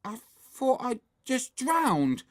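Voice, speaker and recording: Storybook role: timid tattletale small boy, plaintive and high, very swoopy delivery , acted by an adult narrator. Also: very animated. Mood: whiny, plaintive. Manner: plaintive, drawn-out protests.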